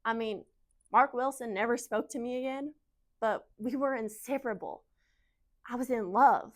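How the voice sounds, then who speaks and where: Woman acting anxious young wife, higher-pitched. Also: natural voice. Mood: lovestruck, dreamy. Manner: halting, confiding, emotional storytelling. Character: anxious young Southern wife, naive, defending her husband. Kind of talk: dramatic monologue in speech competition.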